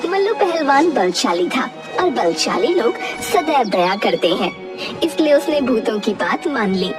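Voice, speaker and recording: Animated woman narrating a story. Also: fairly high pitch, measured pace, recorded from a distance, over music. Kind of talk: scripted children's story narration.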